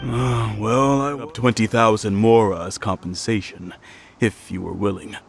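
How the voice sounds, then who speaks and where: A man's voice: fairly low pitch, steady tone, unhurried, vocal fry, faint music behind. A man playing a courteous, worried innkeeper in a video game, speaking politely and a little hesitantly. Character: worried, courteous male innkeeper, plain everyday sort. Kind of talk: video game character acting.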